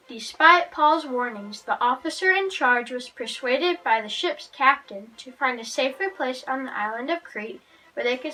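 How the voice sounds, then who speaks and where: A woman's voice: high-pitched, bright, forceful tone. A woman reading a Bible story aloud for children as a guest narrator. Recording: distant microphone, music underneath.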